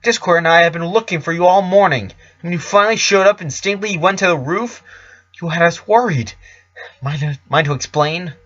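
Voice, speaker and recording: A man voicing a worried, caring female pony dress designer in a storybook reading, with a gentle, earnest, halting manner. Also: fairly high pitch, highs cut off, voice pushed up, close to an everyday voice. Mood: worried, scolding.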